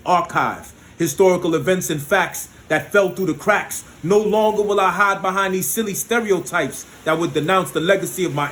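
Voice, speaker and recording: A man's voice: a little high, a bit bright, slight background noise. A man from the United States performing spoken word poetry with a rhythmic, rhyming delivery and emphatic peaks, with short spoken asides between pieces.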